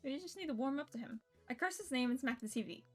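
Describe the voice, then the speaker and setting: A woman streamer reading visual novel narration aloud in a playful, expressive storytelling way, with casual asides. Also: sits high, fast. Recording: music behind the voice.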